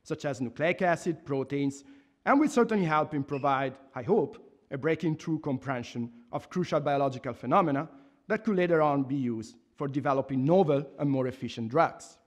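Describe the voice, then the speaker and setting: Give me a man with an Italian accent delivering a measured formal tribute in a lecture hall, his voice a touch high. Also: animated. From Italy. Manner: earnest, measured, with restarts and emphatic pauses. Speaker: professor giving a laudation speech. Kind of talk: formal speech read from notes. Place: university lecture hall, at a lectern. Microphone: microphone on a stand at a lectern.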